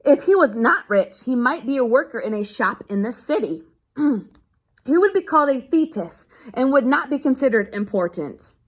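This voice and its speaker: High, lively-pitched woman reading aloud. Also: normal speed. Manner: explains simply, as if to children. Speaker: teacher reading a children's book aloud. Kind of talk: read-aloud lesson with simple explanatory asides.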